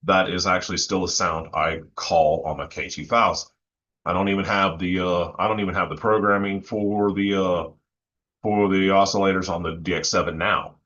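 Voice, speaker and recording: A man talking casually and technically as a panelist, halting as he thinks aloud. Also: sits low, steady tone, fairly bright tone, highs cut off. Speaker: panelist in music technology discussion.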